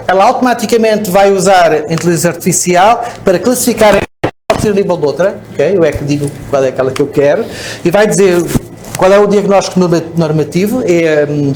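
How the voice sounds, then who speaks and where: A man's voice: high voice, darkish tone, slight background noise. A man with a European Portuguese accent giving a conference presentation, explaining step by step and checking in with the audience. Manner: explanatory, frequent "ok?" checks and restarts. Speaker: speaker at a conference. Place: conference hall.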